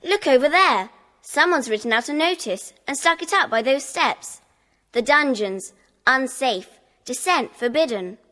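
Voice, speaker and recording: An earnest young schoolchild in a children's audio drama. The voice is high and brightly forceful, with an uneasy temper. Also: female voice, expressive, measured pace, exaggerated voice. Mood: alert.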